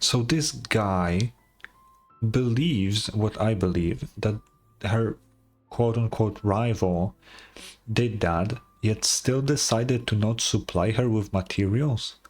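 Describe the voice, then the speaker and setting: A man's voice: mid-range voice, darkish tone. A young man giving casual, blunt and sarcastic reaction commentary as a game streamer, swearing freely.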